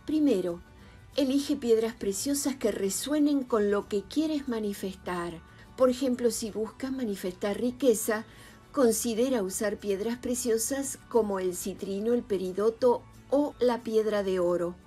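A woman with a somewhat high but mellow, warm voice reads a scripted explainer in a calm, steady way. Her narration is a voice-over, with soft music running faintly underneath. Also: measured pace. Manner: calm, even advice-giving read. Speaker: channel narrator. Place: voice-over over stock footage. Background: soft background music.

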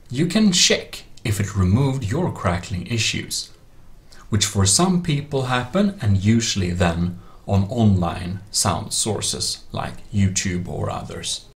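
A man giving a plain-spoken, step-by-step software tutorial as a voice-over. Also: on the low side. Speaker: tutorial presenter. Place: voice-over screen recording.

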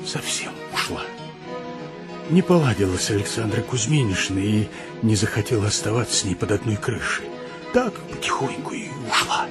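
A man's voice: lowish voice, big pitch swings, moderate pace, music in the background. An actor playing a weak-willed, self-pitying elderly provincial father in a radio drama, hesitant and sighing. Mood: apologetic.

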